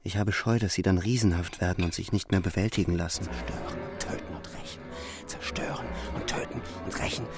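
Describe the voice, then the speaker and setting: Casting: introspective young soldier, lowish creaky voice, literary monologue over music. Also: male voice, brisk, few pauses. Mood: haunted, intense. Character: war-weary young soldier's brooding inner voice. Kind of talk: literary radio drama monologue.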